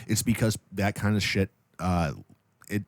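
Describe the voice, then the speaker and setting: A man's voice: fairly low pitch, fairly even intonation, measured pace, dry recording. A man in casual podcast conversation, explaining things emphatically and repeating himself for effect. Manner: explanatory, emphatic repetition, profane. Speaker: podcast guest with radio background.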